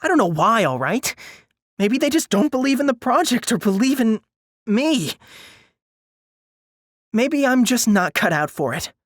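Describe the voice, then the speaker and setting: A man's voice: very high-pitched, very animated, measured pace, pauses often, fairly bright tone, dry recording, clean studio sound, voice pushed up, full character voice. A man voicing a sassy, pushy young woman in audiobook dialogue, blunt and insistent as she pushes her friend. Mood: defensive, hurt.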